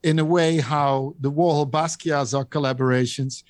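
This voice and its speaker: A man with a Dutch accent talks through an artwork, pausing often with ums and restarts. His voice sits fairly high for a man. The sound is close and dry, with little room around it. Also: fairly even intonation, no room sound. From Netherlands. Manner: hesitant, many ums and restarts. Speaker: auction house specialist. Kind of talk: interview explaining an artwork.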